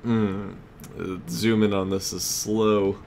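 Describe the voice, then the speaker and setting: A man's voice: lowish voice, flat delivery, takes it slowly, a little mellow. A man giving casual gameplay commentary as a let's play host, muttering and exclaiming as he plays. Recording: clear background noise, game ambience.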